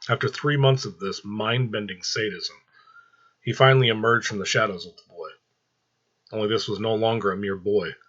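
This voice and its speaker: A man's voice: lowish voice, fairly even intonation, moderate pace, a bit bright, muffled recording. A man reading a story aloud as a storyteller, in dramatic scripted narration.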